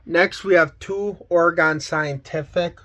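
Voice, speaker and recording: A man casually showing items from a collection in a plain, halting, item-by-item way. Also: fairly high pitch, fairly even intonation, a bit bright. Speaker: collector showing weather radios. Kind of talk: casual show-and-tell vlog.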